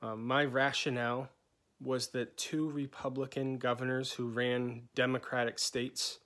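A man in his thirties from New England introducing himself as a candidate, talking loosely with many pauses and restarts, filmed on a phone. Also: medium pitch, little pitch movement, a bit bright. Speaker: candidate for chapter coordinator. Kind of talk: unscripted campaign introduction. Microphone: phone held at arm's length.

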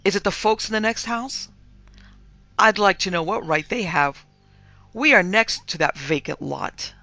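A man voicing a peremptory, indignant middle-aged mistress of a household in an audiobook, with a sharp, demanding, folksy way of talking. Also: high voice, moderate pace, no echo. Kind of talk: audiobook character voice.

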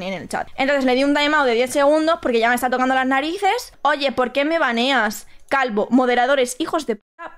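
High-voiced sarcastic young Madrid streamer. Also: female voice. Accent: Madrid Spanish. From Spain. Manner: animated, sarcastic, often shrieks and squeals. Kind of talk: casual streaming commentary reading chat. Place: home streaming room.

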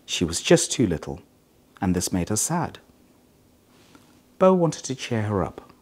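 A middle-aged man with a very low, rough-edged voice reads a children's story. His delivery is measured and expressive, with big swings of pitch from phrase to phrase. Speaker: hospital chief executive reading a children's story. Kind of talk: scripted storybook reading.

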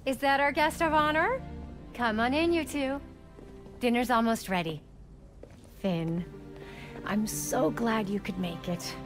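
Woman playing warm, higher-voiced mother. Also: measured pace, pauses often, faint music behind, lightly acted. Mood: welcoming. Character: warm, spirited middle-aged mother running for office. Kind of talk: naturalistic video game acting.

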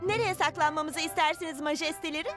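A woman's voice: high voice, fast talker, natural voice. A woman voicing an eager, over-polite little child in a children's cartoon, fawning on a playmate, with music behind the voice.

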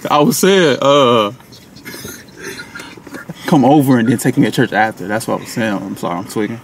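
A man's voice: medium pitch, normal speed, vocal fry. A young American man chatting casually and making prank phone calls from inside a car. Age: young adult. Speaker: prank caller. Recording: noisy, distant car camera audio.

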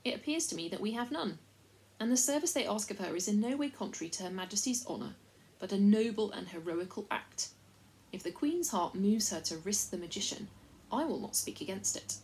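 A woman reads a small, gallant mouse knight in a storybook, formal and courtly in every phrase. He speaks with calm dignity. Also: medium pitch, a little fast, close to an everyday voice, poor audio. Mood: earnest.

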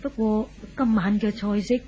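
Actress as fussing housemaid, dull old recording. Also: female voice, medium pitch, steady tone, a little slow, warm tone, close to an everyday voice. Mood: respectful. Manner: solicitous, respectful. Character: deferential, fussing female household servant. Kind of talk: 1970s radio drama acting. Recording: dull, noisy old radio tape.

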